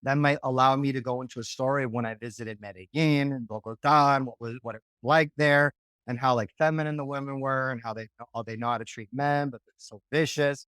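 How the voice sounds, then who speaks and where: A man's voice: medium pitch, steady tone, fairly quick, fairly bright tone, clear, resonant tone, no echo, low-quality recording. A man coaching on dating in a podcast interview, talking casually and often checking in with "right?".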